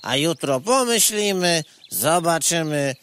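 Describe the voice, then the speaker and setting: A man playing an unhurried, money-minded insect in a radio play, matter-of-fact and wheedling. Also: fairly high pitch, expressive, a bit bright, a little hiss or hum. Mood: helpful, matter-of-fact. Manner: indirect third-person address, matter-of-fact.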